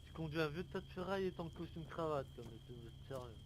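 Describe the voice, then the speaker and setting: A man's voice: a little high, animated, fast talker, natural voice. A man playing a stubborn, indignant motorist in an online game roleplay, talking colloquially and insistently. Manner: colloquial, insistent, ends phrases with tags. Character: indignant, stubborn male motorist demanding compensation. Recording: noisy game voice chat.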